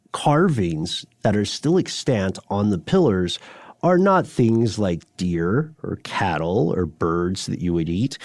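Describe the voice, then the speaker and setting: A man co-hosting a podcast, explaining things conversationally in measured, wordy sentences with playful asides. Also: on the low side, darkish tone, no echo, studio quality. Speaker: podcast co-host.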